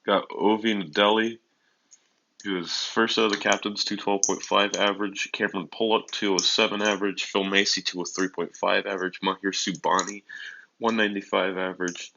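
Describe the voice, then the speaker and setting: A teenage guy with a notably low, forceful voice hosts a livestream. His tone is edged with vocal fry, and he talks casually and off the cuff, filling time between announcements. The sound is slightly dull and lo-fi. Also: flat delivery, fairly quick, a little hiss or hum. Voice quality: deep voice. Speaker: livestream host of an online racing league draft. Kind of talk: casual livestream chat with announcer-like list reading. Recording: dull, lo-fi microphone.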